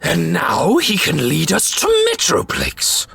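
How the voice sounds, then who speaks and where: Husky, gravelly robot warlord villain. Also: male voice, a little high, wildly swooping pitch, pushed and bright, exaggerated voice. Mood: gloating. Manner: theatrical, slow, mock-polite menace. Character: sinister gloating male robot warlord villain. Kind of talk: scripted fan dub acting.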